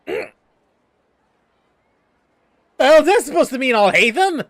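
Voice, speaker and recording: A man's voice: very high-pitched, sing-song, a little slow, fairly bright tone, no echo, clean studio sound. A man giving casual, sweary commentary as he streams a game, reactive and exclamatory. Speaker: gaming streamer. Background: game music.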